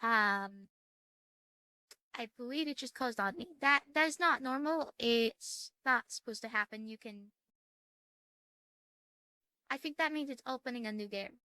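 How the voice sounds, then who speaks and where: A woman hosting a gaming video, chatting casually and reading game text aloud in a halting way that repeats words. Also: a little high, bright, forceful tone, dry recording, poor audio.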